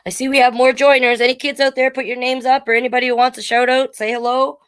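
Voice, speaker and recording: A woman hosting a live children's storytime, with animated, sing-song delivery and chatty asides. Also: sits high, fast talker, clean tone.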